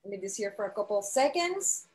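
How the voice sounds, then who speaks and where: A middle-aged woman giving a live instructional demonstration as an art teacher, speaking in measured, halting phrases and checking in with her listeners. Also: mid-range voice, big pitch swings, darkish tone. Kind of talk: live instructional demo, explanatory.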